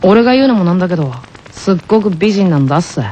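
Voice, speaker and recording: A woman voicing a gentle, teasing teenage tennis boy in an anime radio skit, speaking with playful deliberation. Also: on the low side, lively intonation, rapid-fire, a little hiss or hum, natural voice. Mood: playful mimicry.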